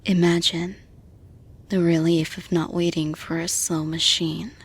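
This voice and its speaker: A composed female boss acted by a woman speaks softly and slowly, straight to the listener. Her voice is breathy and a little low. She sounds measured, supportive and reassuring, as in an intimate roleplay audio. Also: flat delivery. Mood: calm, reassuring.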